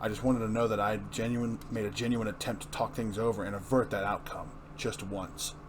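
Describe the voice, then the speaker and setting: A man's voice: on the low side, flat delivery, brisk, background noise, close to an everyday voice. A man voicing a grim, earnest and threatening vigilante hero in an amateur comic book reading. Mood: solemn, grave.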